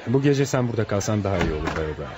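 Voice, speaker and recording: Actor as a quick, low-voiced authoritative doctor. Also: male voice, expressive, a crowd in the background, background noise, natural voice. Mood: calm, firm. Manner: formal, advising firmly. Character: calm, authoritative male hospital doctor. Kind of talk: Turkish radio play acting.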